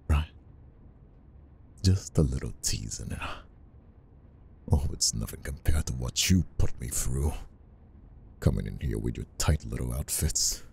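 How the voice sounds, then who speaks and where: Guy playing a teasing bossy boss in asmr rp, super deep gravelly voice, slow breathy murmur right up on the mic. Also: mellow, slight background noise, heavily acted. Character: flirtatious, conflicted male boss, dominant and teasing. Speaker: voice actor and ASMR roleplayer. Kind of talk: intimate ASMR roleplay acting. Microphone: close ASMR microphone.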